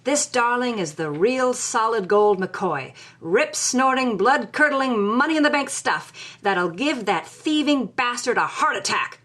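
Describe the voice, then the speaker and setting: A woman's voice: a little high, lively intonation, unhurried, few pauses, fairly bright tone. A woman voicing the letters of a roguish male adventurer, in a hearty, salty, emphatic way.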